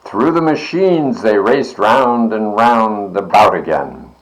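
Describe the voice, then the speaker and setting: A man's voice: on the low side, animated, slow, poor audio. A man reading a children's storybook aloud expressively, with dramatic, rhythmic verse delivery. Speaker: library board member reading aloud.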